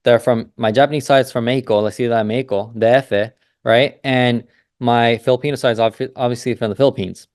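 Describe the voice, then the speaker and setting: A young man hosting a business talk, speaking off the cuff in a loose, rambling way full of fillers and restarts. His voice sits in an ordinary middle pitch. The sound is dry and close, with no room behind it. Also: fairly even intonation, fast, darkish tone, no echo. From United States. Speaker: business consultant host. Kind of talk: casual monologue.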